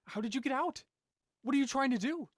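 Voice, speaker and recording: A woman acts as a frantic man in an audio thriller, pleading and insistent. The delivery is urgent, with lively swooping pitch and a close, dry sound. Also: brisk, no room sound. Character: John, frantic, pleading man searching for a friend.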